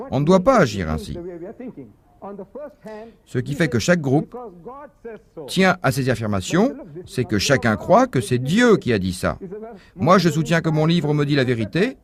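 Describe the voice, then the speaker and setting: A man giving a measured, didactic religious lecture as a voice-over, posing rhetorical questions to the audience. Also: medium pitch. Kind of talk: dubbed lecture, explaining a point.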